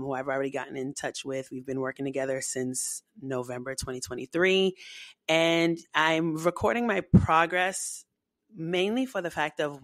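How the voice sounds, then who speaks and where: A young woman from the United States, a podcast guest, talking in a chatty, animated way and laughing often. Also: low voice, no echo. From United States, Haitian family. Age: young adult. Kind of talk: casual podcast interview.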